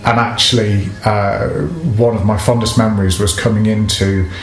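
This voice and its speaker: A man's voice: on the low side, little pitch movement, moderate pace. A man, recalling his past in an interview. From Nottingham, England. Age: 40s. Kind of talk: reflective interview recollection. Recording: echoey room. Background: guitar music.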